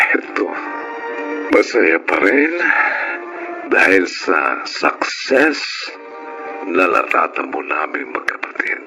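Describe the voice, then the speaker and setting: A man's voice: low-pitched, creaky, full character voice. A man playing a self-made entrepreneur and writer who narrates a life story in a radio drama, earnest and encouraging. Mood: proud, content. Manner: measured, earnest, advising. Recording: dull, band-limited radio sound. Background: music under the voice.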